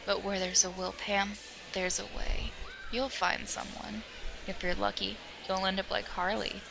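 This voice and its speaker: A woman playing a sly, confident, teasing teenage girl with a creaky voice in an audio drama. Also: medium pitch, level tone, a little slow, music in the background. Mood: dramatic, reassuring. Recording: clearly noisy home recording.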